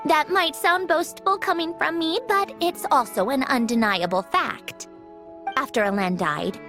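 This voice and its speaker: A woman voicing a proud, prickly female automaton in a video game, speaking in a precise, scholarly, aloof way. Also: fairly high pitch. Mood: wistful, proud. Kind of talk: scripted game character dialogue.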